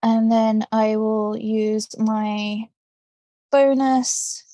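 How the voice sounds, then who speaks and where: A woman takes her turn in a tabletop game, thinking aloud in casual talk. She speaks slowly and hesitantly, with a very flat, even pitch. The recording is dry. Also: dry recording.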